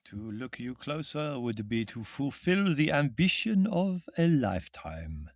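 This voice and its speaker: A gangling Middle European gentleman in a storybook, tipsy and florid. He intones everything in a heavy accent, slow and sepulchral, with doleful, lecherous courtliness. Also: mid-range voice, sing-song, dry recording, clean studio sound, pitched above the performer's own voice. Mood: courtly, florid.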